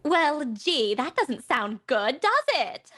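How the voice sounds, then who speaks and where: An actress playing a blunt actor who voices a brisk houseguest in a period play. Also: female voice, sits high, sing-song, fairly bright tone, full character voice. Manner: blunt, pointed. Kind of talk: audio drama acting.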